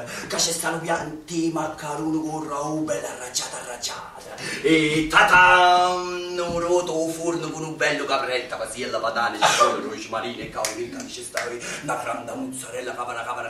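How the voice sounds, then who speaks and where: A man's voice: mid-range voice, lightly acted. An actor playing a hungry young Neapolitan man who daydreams about a feast, speaking with a Neapolitan accent in a stage play for radio. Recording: very echoey stage, distant mic, music underneath.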